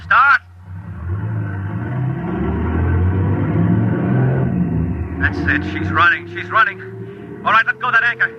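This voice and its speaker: An actor playing a driven, excitable helmsman, giving urgent clipped commands in 1950s radio drama style. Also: high-pitched, brisk, loud music behind the voice. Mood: excited, hopeful. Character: driven, excitable male land-ship helmsman battling a gale. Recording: dull old radio recording, loud storm noise.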